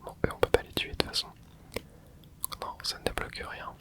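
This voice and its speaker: A young man hosting an ASMR channel, softly whispering a relaxed running commentary as he plays. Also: brisk. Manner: soft close whisper, relaxed running reactions. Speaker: ASMR gaming channel host.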